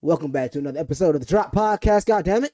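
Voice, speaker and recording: A man's voice: fairly high pitch, expressive, very fast. Hyped young male podcast host. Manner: playful, hyped, joking. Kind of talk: casual podcast chat. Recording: noisy background.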